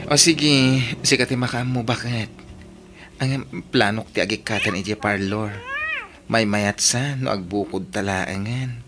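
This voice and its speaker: A man's voice: fairly low pitch, a little slow, close to an everyday voice. A man acting a jealous, hurt middle-aged husband and doting father in a Filipino-accented radio drama, emotive and pleading. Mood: eager, coaxing. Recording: noisy radio drama recording.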